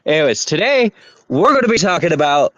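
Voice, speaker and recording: A man's voice: a little high, big pitch swings, fairly quick, a bit bright, dry recording, dull audio. A young man casually hosting a podcast, rambling with lots of "anyways" and laughter. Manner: rambling, many fillers and laughs. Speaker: podcast host.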